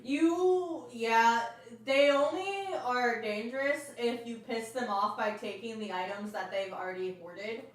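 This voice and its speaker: A woman's voice: on the high side, unhurried, hardly any pauses. A woman chatting casually about video games in a matter-of-fact way. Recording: very echoey, far from the mic.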